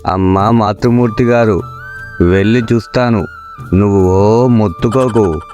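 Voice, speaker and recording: A man's voice: fairly low pitch, unhurried, a little mellow, soft background music, close to an everyday voice. A man playing a lazy, cheeky young village loafer in a comic story, wisecracking in a flippant, mock-dramatic way. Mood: sarcastic. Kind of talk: comic Telugu moral-story voice acting.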